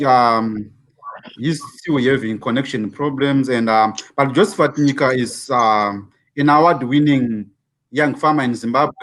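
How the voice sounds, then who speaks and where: Halting male panel moderator. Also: mid-range voice, fairly bright tone, low-quality recording. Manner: halting, with many fillers and repeated words. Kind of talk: hosting an online panel.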